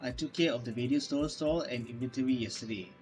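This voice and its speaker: A man's voice: mid-range voice, fast talker, darkish tone, poor audio. A man doing playthrough commentary as a gaming host, reacting and reading game text aloud. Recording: game music behind the voice.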